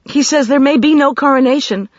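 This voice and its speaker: Woman doing a high, frantic young woman. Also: lively intonation, no echo. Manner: rushed, blurting, pleading. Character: anxious young woman, breathless and upset. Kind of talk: audiobook reading. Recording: dry, slightly dull studio recording.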